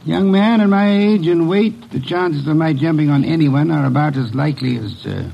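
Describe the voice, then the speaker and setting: An actor playing a shrewd, heavyset, imperious private detective with formal, precise, dryly pompous diction in a classic radio drama. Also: male voice, on the high side, normal speed, vocal fry, highs cut off. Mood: probing, dry.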